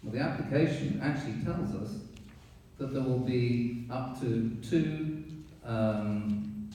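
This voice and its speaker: A man speaks up at a town hearing, pointed and accusatory, pausing on fillers as he lists his charges. His voice has a dark, mellow timbre. It is picked up from afar in a very echoey hall with faint hiss. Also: mid-range voice, recorded from a distance. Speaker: resident giving public comment. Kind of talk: public comment at a town board hearing. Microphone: room mic from a distance.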